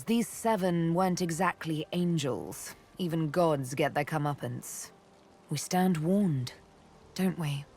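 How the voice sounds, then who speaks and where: An actress voicing a seductive, menacing elven assassin woman in a fantasy game, intimate and teasing, with breathy, deliberate delivery. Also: sits low, darkish tone. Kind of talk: dramatic fantasy game voice acting.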